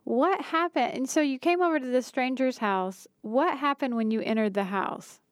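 A woman interviewer asks guiding, encouraging questions. Her voice is fairly high and smooth, and the recording sounds dry and close. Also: moderate pace, dry recording. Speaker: interview host.

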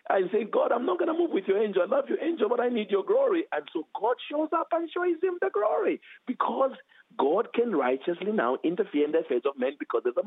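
A man with a heavy accent, a guest author teaching with emphasis and repeating key phrases. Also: slightly rough. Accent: heavy foreign accent. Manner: emphatic, repeats phrases, animated swings in pitch. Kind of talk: preaching teaching interview. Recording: tinny phone line.

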